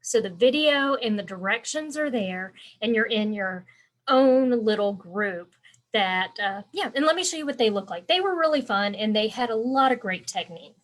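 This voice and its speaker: A woman with a congested, stuffy voice hosts a relaxed live stream as an embroidery instructor. She talks in a chatty, rambling way and answers viewers by name. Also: on the high side, normal speed.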